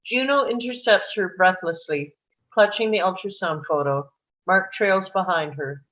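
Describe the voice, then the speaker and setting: A woman's voice: fairly low pitch, measured pace, highs cut off. A woman narrator reading scripted stage directions for a screenplay.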